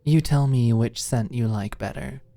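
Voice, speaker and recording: A woman playing a confident, doting, teasing girlfriend in an intimate ASMR roleplay, soft and playful. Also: very deep voice, a little slow, no echo. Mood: tender, soothing.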